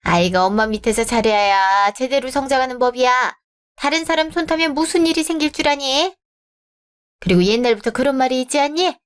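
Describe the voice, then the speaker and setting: A woman's voice: high-pitched, a little fast, smooth, close to an everyday voice. A woman voicing a haughty, scornful middle-aged future mother-in-law in a radio drama, talking down and scolding. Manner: condescending, scolding, talks down.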